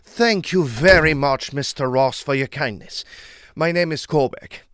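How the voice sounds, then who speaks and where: An actor playing a learned, secretive explorer of Egypt in an audio drama, formal and emphatic in manner. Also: male voice, a little high, a little slow, fairly bright tone, smooth, no echo, clean studio sound. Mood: courteous, proud.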